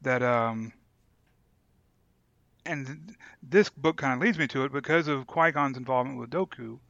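A man's voice: mid-range voice, moderate pace, creaky, fairly bright tone, dry recording, dull audio. A man co-hosting a podcast in casual fan discussion, thinking aloud tentatively as he works through his points. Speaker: podcast host.

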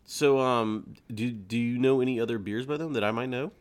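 A man's voice: mid-range voice, normal speed. A man hosting a podcast, chatting casually over drinks with his co-hosts. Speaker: podcast host.

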